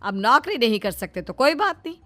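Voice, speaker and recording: A woman's voice: on the high side, big pitch swings, fairly quick, bright, forceful tone, dry recording. A woman voicing a loving but bossy middle-aged widowed mother in a story, with emphatic, motherly delivery. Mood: stern, bossy. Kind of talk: animated moral story dialogue. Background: background music.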